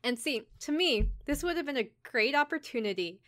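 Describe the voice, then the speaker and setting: A woman's voice: sits high, expressive, a little fast, a bit bright, no room sound, clean studio sound. A woman hosting a podcast, chatting casually and acting out bits as she recaps a show. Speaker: podcast host. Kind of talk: casual chat recapping an anime.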